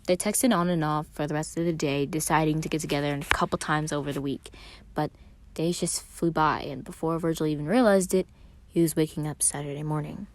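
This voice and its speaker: Low-voiced woman narrating a story. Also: steady tone, a little fast, a little hiss or hum. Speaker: fan fiction reader.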